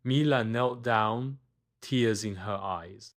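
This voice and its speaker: A man narrating a scripted children's bedtime story, expressive and deliberate, with dramatic pauses. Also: on the low side.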